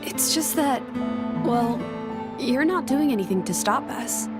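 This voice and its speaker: Soft-mannered hesitant girl companion, game, highish voice, loud dreamy music. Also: lively intonation, unhurried. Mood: tentative, sincere. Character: gentle, hesitant, sincere young woman companion. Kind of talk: video game voice acting. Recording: loud new-age music behind.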